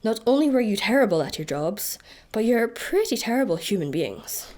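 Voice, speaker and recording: A woman's voice: on the high side, sing-song, moderate pace, darkish tone. Sinister female job centre officer, Scottish, officious, scornful, cutting, comic radio play. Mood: seething contempt.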